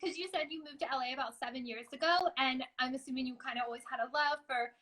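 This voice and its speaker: A woman's voice: sits high, steady tone, quick pace, sharp tone. A woman hosting an online interview show, upbeat and encouraging in manner. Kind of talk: live online interview, scripted sponsor read then casual chat.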